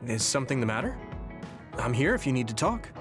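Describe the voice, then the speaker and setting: An actor playing an earnest, kind young soldier in a video game, with a gentle and friendly way of talking. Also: male voice, medium pitch, sing-song, fairly quick, natural voice. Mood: concerned. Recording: background music over dialogue.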